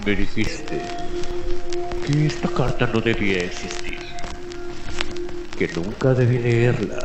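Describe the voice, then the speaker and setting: An actor plays an anguished man demanding answers in a radio play. He speaks slowly and earnestly, with dramatic insistence, in heavy echo over music and rain. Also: medium pitch, animated. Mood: anguished, insistent. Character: anguished male lover demanding the truth. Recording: echoey, music and rain behind.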